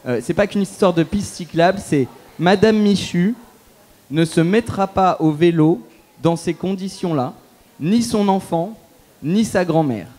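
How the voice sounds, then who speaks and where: Man with a mellow voice, thinking aloud. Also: high-pitched, measured pace. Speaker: panelist. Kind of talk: conference panel talk.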